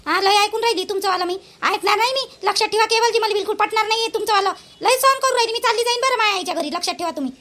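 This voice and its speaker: A woman acts out a defiant young cartoon wife, very high and bright in voice. She argues quickly and indignantly in a Vidarbha Marathi accent, firing off rhetorical questions. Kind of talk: cartoon voice acting.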